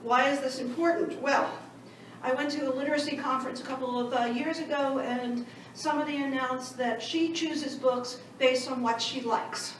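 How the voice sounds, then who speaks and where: A woman's voice: high-pitched, fairly even intonation, normal speed, a little hiss or hum. A woman with a New York accent giving a conversational conference panel talk, with self-deprecating asides. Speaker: panelist, education director. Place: large conference hall. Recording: echoey hall recorded from far off.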